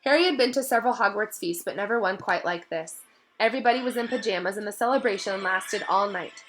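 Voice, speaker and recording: Bright-voiced woman reading a story aloud. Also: mid-range voice, fast, rough recording. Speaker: read-aloud narrator. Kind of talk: expressive storybook narration.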